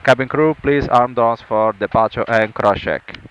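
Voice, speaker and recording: A man's voice: mid-range voice, moderate pace, a bit bright, smooth. A man speaking as the airline captain gives a formal, courteous announcement from the flight deck over the PA. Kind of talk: pilot welcome announcement. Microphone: flight deck PA heard through cabin speakers. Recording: muffled aircraft PA.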